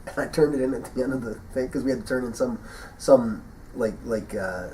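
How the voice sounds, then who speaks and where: A man's voice: medium pitch, a little fast, mellow. A man co-hosting a podcast in casual chat, getting over the flu and sniffling, rambling and laughing at his own stories. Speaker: podcast co-host. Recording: voice a bit far from the mic, faint hiss.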